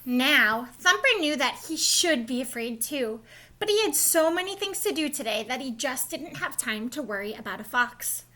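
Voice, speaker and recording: Female storyteller for young kids, high-pitched and bright, expressive read-aloud delivery. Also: normal speed. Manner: expressive, explains to young listeners. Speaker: storybook reader, school staff.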